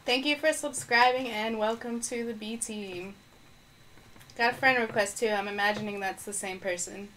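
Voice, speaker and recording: A woman's voice: medium pitch, fairly even intonation, moderate pace, fairly bright tone, a little hiss or hum, low-quality recording. A woman streaming a video game live, chatting casually as she thinks aloud and responds to viewers, with her microphone placed on the floor. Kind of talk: live gameplay stream commentary.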